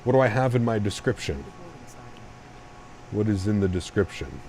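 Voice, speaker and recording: A man with a deep voice streaming a flight sim, talking straight to his chat and explaining himself at length with repeated restarts. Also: animated, normal speed, a little mellow, slight background noise, poor audio. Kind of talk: casual live-stream chat.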